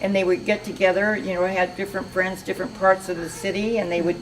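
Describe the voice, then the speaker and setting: A woman's voice: mid-range voice, little pitch movement, fast talker, slight background noise, low-quality recording. An older woman from Seattle being interviewed, reminiscing in a chatty, meandering way with frequent restarts. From Seattle, USA.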